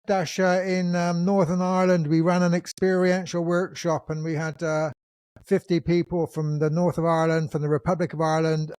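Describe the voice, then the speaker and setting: A man hosting a podcast interview, introducing his guest and asking thoughtful questions in a relaxed manner. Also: a little high, smooth, no room sound, studio quality. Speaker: podcast host. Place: England.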